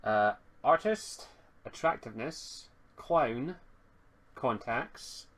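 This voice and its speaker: A man acting as game master, casually explaining tabletop game rules and reading items haltingly from a rulebook. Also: medium pitch, expressive, fairly bright tone.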